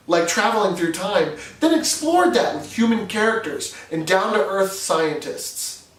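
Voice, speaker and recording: New england guy, pretty high voice, earnest explainer talk, kinda roomy echo, sits back from the mic. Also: distant mic. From New Hampshire. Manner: earnest, conversational, with occasional fillers. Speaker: video host. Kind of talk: scripted explainer narration.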